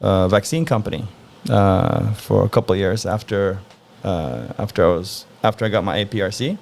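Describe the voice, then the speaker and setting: Interview guest, male, from Norway, gravelly low voice, easygoing and thoughtful. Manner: relaxed, thoughtful, frequent "uh" pauses. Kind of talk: casual interview answers.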